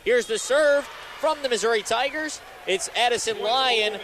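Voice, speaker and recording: High-pitched young male play-by-play announcer. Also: moderate pace, slight background noise. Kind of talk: live volleyball play-by-play commentary.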